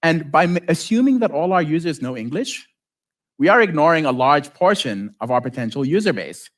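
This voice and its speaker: Animated, higher-pitched man giving a tech talk. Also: a little fast. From lives in South Africa. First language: Arabic. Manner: informal, joking asides, rhetorical check-ins. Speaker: conference speaker, software developer. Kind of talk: conference talk to an audience.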